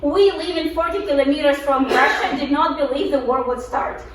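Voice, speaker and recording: High-voiced Ukrainian woman in an echoey room. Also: a little fast. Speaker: guest presenter. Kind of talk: informal personal presentation to a group. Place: echoey indoor room, live presentation. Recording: echoey room, distant mic, faint noise.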